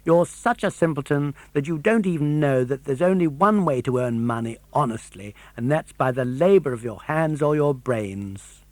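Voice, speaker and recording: A man's voice: fairly high pitch, expressive, moderate pace, hardly any pauses, darkish tone, no room sound, close to an everyday voice. A man voicing a mocking, chuckling know-all pigeon in a children's storybook, scornful and lecturing with heavy emphasis.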